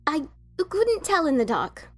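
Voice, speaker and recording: A woman's voice: sits high, very animated, a little slow. A woman playing an anxious, motherly cartoon pony wife in a fan audio drama, fretful and stubborn. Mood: anxious, insistent.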